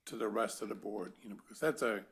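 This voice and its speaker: Male board member, halting delivery, frequent "you know" fillers. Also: lowish voice, creaky, fairly bright tone, slightly rough. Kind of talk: meeting discussion.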